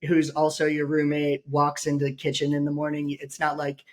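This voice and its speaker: A man hosting a podcast, hoarse and raspy from being sick, talking in a rambling, joking way with many fillers. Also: fairly high pitch, monotone, a little fast. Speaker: podcast host. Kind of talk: casual podcast chat.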